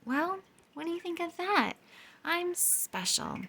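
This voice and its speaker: A woman voicing a small, proud male dwarf planet with a hot temper, indignant and shouting in a children's storybook. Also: high-pitched, big pitch swings, normal speed, creaky, voice pushed up, full character voice. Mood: pleased.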